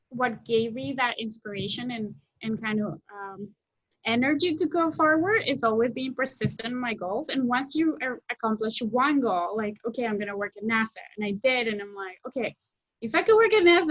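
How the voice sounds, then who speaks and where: Woman from Colombia in her thirties, guest on a video call; a higher voice, eager, restarts often, dry sound. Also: dry recording, low-quality recording. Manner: enthusiastic, many restarts and fillers. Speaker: guest, technology scout. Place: home room with window, indoors. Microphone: laptop webcam mic on video call.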